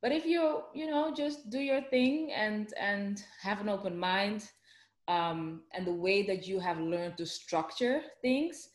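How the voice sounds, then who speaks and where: A woman's voice: mid-range voice, unhurried, roomy sound. A woman with a Dutch accent, chatting casually in an interview with lots of fillers and restarts. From Netherlands.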